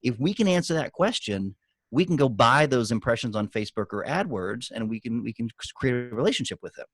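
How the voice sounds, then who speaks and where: A man coaches a group over a video call in a medium-pitched voice. He speaks conversationally, stressing his points and slipping into anecdotes. The sound is close and dry. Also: lively intonation, fairly quick, no echo. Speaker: guest business coach and author. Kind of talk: giving marketing advice on a group video call.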